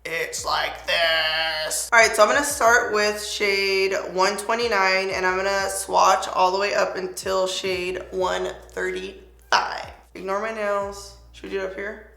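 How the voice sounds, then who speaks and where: A young woman with a raspy voice, chatting excitedly as she reviews a makeup product. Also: medium pitch, a little slow, reverberant. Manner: chatty, excited, filler-heavy. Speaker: beauty vlogger.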